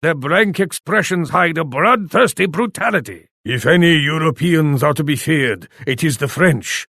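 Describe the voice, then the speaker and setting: A proud, ruthless Japanese warlord for a strategy game, pitched a little high for a man. He speaks formally and imperiously, with contempt for allies and enemies alike, in a close, dry recording. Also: expressive, moderate pace, vocal fry, pushed and bright, no room sound, full character voice. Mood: grave, wary. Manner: imperious, formal, speaks of himself in third person. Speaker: video game faction leader. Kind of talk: scripted video game voice lines.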